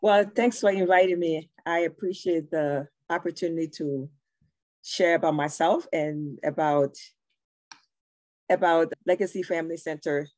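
A woman's voice: sits low, moderate pace, darkish tone, dry recording. A middle-aged woman from Liberia, a nonprofit founder, introducing herself on a video call in an earnest, storytelling way. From Liberia, West Africa.

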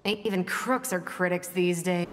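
A woman's voice: lowish voice, fairly even intonation, measured pace, close to an everyday voice. An actress playing a brash, wisecracking young female fighter in a video game, with a mocking manner. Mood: snarky. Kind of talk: video game character banter. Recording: reverberant, loud noisy game ambience.

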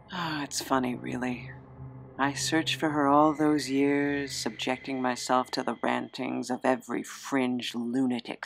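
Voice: mid-range voice, a little hiss or hum. An actor playing a sardonic, embittered immortal with a fractured memory in an audio drama, flippant and wry in manner. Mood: wistful, bitter. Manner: flippant, wry, breathy asides and chuckles.

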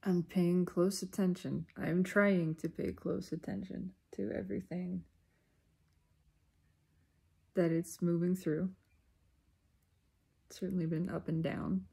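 Female solo speaker for introspective spiritual musing; lowish pitch, very unhurried delivery, deliberate pauses between short phrases. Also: level tone, gravelly, dark tone, breathy edge. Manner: deliberate, pausing mid-sentence in short phrases. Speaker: spiritual self-reflection vlogger. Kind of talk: slow reflective spiritual monologue.

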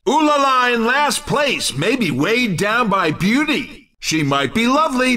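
A man voicing an excitable, cheeky racing video game commentator, dramatic, teasing and emphatic in scripted sports style. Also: very high voice, recorded from a distance, full character voice.

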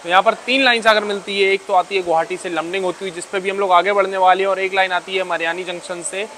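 A man's voice: high-pitched, steady tone, fast talker, bright, forceful tone, slight background noise. A man vlogging a train journey, chatting casually with his viewers and explaining stations and routes as he travels. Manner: chatty, addresses viewers as bhai. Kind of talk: casual vlog narration. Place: aboard a moving train and on station platforms.

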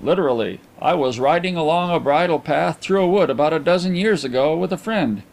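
A man voicing a placid, self-assured, good-humoured gentleman in an audiobook, with a suave and courteous manner. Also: fairly high pitch, animated, a little fast, creaky, voice pushed up. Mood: tranquil. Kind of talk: audiobook character acting.

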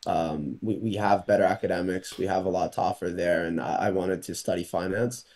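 A young man from Portugal, a college tennis player, answers interview questions over a video call in a relaxed, hesitant way with many pauses, speaking through a laptop mic. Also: deep voice. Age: young adult.

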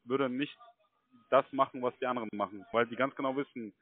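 A man from Germany speaks at an ordinary pitch over a dry, tinny phone connection. His talk is casual and halting, circling back on phrases and checking that he is followed. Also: steady tone, no echo. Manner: halting, repetitive, insistent checking tags. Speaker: call center recruiter. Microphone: telephone.

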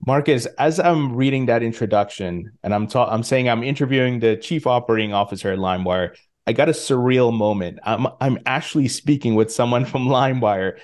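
A man's voice: medium pitch, fairly quick, a little mellow. A man hosting a podcast interview, enthusiastic and friendly, speaking over a video call from a home studio. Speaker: podcast host.

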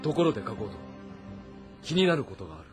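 An actor playing a commanding, shrewd warlord of ancient China in a dramatic game, with a terse, authoritative manner. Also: male voice, mid-range voice, very animated, quick pace, faint music behind, natural voice. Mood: grave. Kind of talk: dramatic audio-novel game acting.

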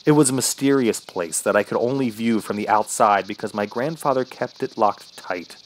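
A man's voice: on the low side, close to an everyday voice. Brooding trapped man played by an actor, noisy ambience. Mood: haunted. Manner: brooding, halting, dramatic. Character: injured, thirsty trapped man recounting his ordeal. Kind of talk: first-person horror radio drama narration.

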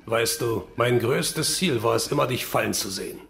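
A man playing a bitter, gloating villain in a radio drama, deliberate and taunting. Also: medium pitch, lively intonation, gravelly, a bit bright, soft background music. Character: bitter, gloating villain, vengeful disowned son.

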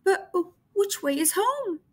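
A woman's voice: very high voice, sing-song, unhurried, voice pushed up, heavily acted. A woman voicing a timid, anxious young male penguin captain who sounds worried and reluctant, for a children's storybook. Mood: hopeful, hesitant.

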